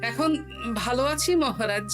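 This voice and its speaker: A woman's voice: on the high side, moderate pace, fairly bright tone, soft background music, natural voice. A woman voicing a witty, food-loving grandfather in a cartoon, chatty and full of exclamations. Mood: earnest. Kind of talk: cartoon voice acting.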